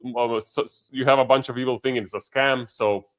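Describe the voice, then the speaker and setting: A man hosting a casual video podcast, chatty and quick to laugh, talking in loose restarts. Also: mid-range voice, steady tone, a bit bright. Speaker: podcast host.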